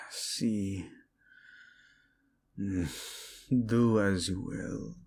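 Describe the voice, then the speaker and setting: Man playing a deep, gravelly, devoted royal husband. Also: fairly even intonation, a little hiss or hum, heavily acted. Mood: flirtatious, yielding. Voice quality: husky, gravelly low voice.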